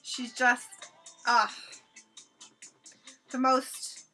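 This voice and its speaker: Bright, high-voiced woman chatting on stream. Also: little pitch movement, drawn-out words, faint music behind. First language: Hebrew. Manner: chatty, swears freely, laughs often. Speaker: livestream host. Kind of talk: casual chat reading viewer comments. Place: home studio while painting.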